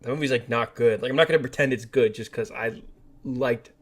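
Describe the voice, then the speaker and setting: A man with a middle-pitched voice co-hosts a casual podcast. He talks in a blunt, slangy way, as if chatting with a friend. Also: a little fast. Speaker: podcast co-host. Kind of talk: casual film discussion chat.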